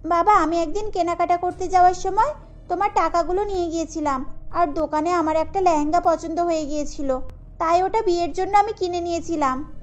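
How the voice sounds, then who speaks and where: A woman's voice: very high voice, fairly quick. A woman playing a proud, headstrong young bride-to-be in a cartoon, with a girlish, pointed manner. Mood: guilty. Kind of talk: cartoon voice acting.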